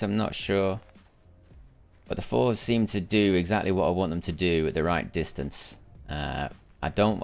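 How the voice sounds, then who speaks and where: Tired, low-voiced man streaming a game. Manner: chatty, muses aloud, often repeats words while restarting. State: tired after a sleepless night, voice lower than usual. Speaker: gaming streamer. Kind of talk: casual live gameplay commentary.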